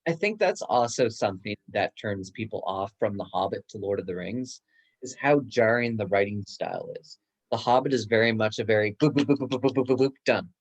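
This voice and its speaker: A man's voice: fairly low pitch, a little fast, low-quality recording. A man chatting casually and enthusiastically in a book discussion. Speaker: book club guest, bookstore worker.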